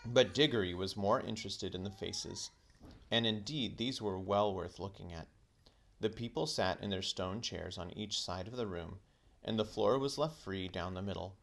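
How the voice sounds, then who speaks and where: A man reading a children's book aloud as a teacher would, in expressive storybook narration. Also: fairly low pitch, normal speed, vocal fry.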